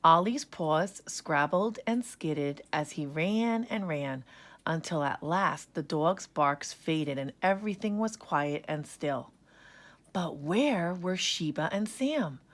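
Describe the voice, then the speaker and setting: A woman narrating a children's story in an expressive read-aloud style. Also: low-pitched, takes it slowly.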